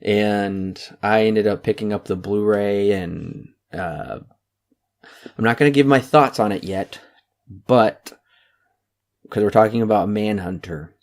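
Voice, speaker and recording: A man's voice: on the low side, slow pace. A young man co-hosting a podcast in casual chat, talking steadily and reeling off dates and figures. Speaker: podcast co-host.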